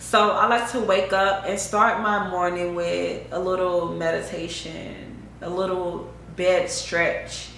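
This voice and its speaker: Young woman vlogger, casual slangy delivery, low-ish voice, very roomy echo. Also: flat delivery, slow, gravelly, hardly any pauses, fairly bright tone. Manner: chatty, slangy, repeats phrases, says "you know what I'm saying". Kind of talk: casual spiritual chat to camera. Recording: very echoey room, faint hiss.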